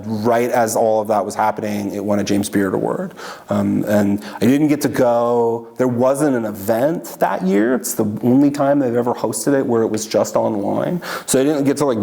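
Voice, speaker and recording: Guy rambling thru an interview, lots of ums, kinda low and gravelly. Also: dark tone. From Washington state. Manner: rambling, many fillers and restarts. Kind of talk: casual oral history interview.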